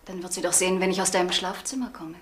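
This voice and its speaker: A woman's voice: lowish voice, steady tone, fast talker, close to an everyday voice. An actress playing a provocative young lover in a crime radio drama, bold and mocking in her questions. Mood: questioning. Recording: noisy old radio drama recording.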